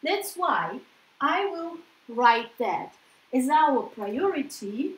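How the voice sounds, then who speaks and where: A woman's voice: high-pitched, very animated, slow, echoey room, low-quality recording. A Russian-born woman with a Russian accent, teaching an English lesson in a chatty way, often checking in with "yeah?". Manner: chatty, explains with tag questions like "yeah?". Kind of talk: casual spoken lesson.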